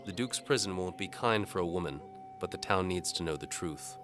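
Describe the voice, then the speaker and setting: A man's voice: low voice, fairly quick, over music, pitched above the performer's own voice, lightly acted. A man playing a hard, cold lawman who commands his men in an audio drama. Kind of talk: audio drama character acting.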